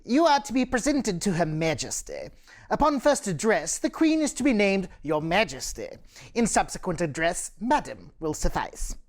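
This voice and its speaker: A gnome royal secretary, acted out by a woman in a fantasy tabletop game, speaks with ceremonious courtesy. The voice is a little low and very animated in pitch, always deferential and correct. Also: moderate pace. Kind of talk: in-character tabletop roleplay.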